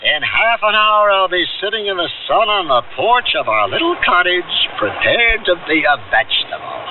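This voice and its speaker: A man's voice: sits high, big pitch swings, normal speed. An actor playing a breezy, sardonic bachelor in a radio drama, droll and teasing in his declarations. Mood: droll, expansive. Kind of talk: 1970s American radio drama acting. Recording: tinny, noisy radio recording, slightly distant.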